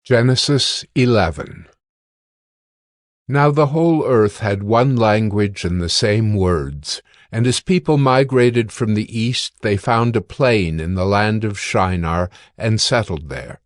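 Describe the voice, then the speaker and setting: Low-voiced male narrator of scripture. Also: no room sound, studio quality. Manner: formal, measured storytelling. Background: faint music.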